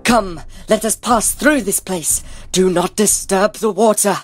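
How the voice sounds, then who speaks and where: A man's voice: high voice, measured pace, soft background music. A man playing an earnest, cautious adventurer, speaking in a grave, formal mock-epic manner in a comedic fantasy radio play. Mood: disapproving. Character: solemn, cautious, earnest quest companion trained by monks.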